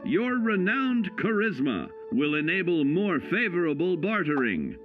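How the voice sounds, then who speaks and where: An actor playing a cheerful, folksy narrator of 1950s-style government survival films, selling each point with measured, upbeat emphasis. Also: male voice, sits high, sing-song, narrow, tinny audio. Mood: upbeat, instructive. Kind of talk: 1950s instructional film narration. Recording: vintage band-limited film sound, music behind. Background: music.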